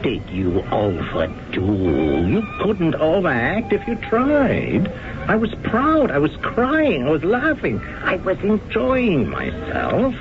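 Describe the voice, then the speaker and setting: A man's voice: a little high, huge pitch swings, takes it slowly, heavily acted. A gushing, theatrical stage director, with a voice that swoops wildly up and down. He comes through a dull old radio broadcast with music underneath.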